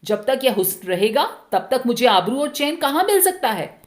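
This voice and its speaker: A woman's voice: fairly high pitch, big pitch swings, normal speed. Woman reading as a jealous, accusing husband. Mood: brooding, aggrieved. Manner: accusing, agitated questioning. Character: jealous, brooding young village husband, suspicious and possessive. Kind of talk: dramatic Hindi short story reading.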